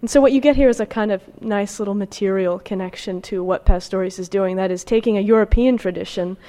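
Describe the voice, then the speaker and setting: Woman, long hall reverb, medium pitch, academic lecturer, scholarly and somewhat halting delivery. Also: mellow. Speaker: academic lecturer presenting research. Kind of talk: academic talk with slides, reading out quotations.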